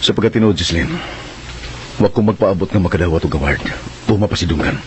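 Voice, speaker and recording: An actor playing an earnest, modest hero of a freedom struggle, speaking in formal phrases in a radio drama. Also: male voice, low-pitched, fast talker, a little hiss or hum, natural voice. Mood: humble, earnest. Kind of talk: melodramatic radio drama acting.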